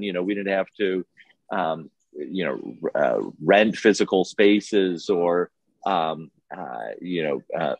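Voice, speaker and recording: A man giving a conversational interview answer as a guest, halting and searching for his words. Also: no echo. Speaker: film festival director, panel guest. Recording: muffled, boomy room sound.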